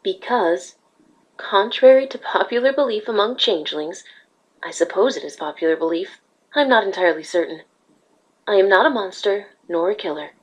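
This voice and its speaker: A woman voicing a calm, regal alicorn pony ruler in a fan fiction drama, speaking in a measured, formal, patient way. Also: medium pitch, husky, low-quality recording. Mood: earnest, firm.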